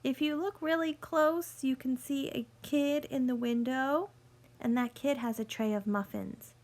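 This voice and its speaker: A woman reading a picture book aloud like a teacher, speaking deliberately to young listeners and explaining as she goes. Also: high voice, fairly even intonation, a little mellow. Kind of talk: read-aloud storytelling with teaching asides.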